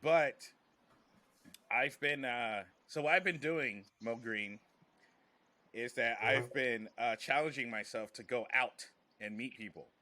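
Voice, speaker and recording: A man's voice: mid-range voice, takes it slowly, sharp tone. A man who works as a comedian, hosting a casual podcast chat in a rambling, joking, slangy way.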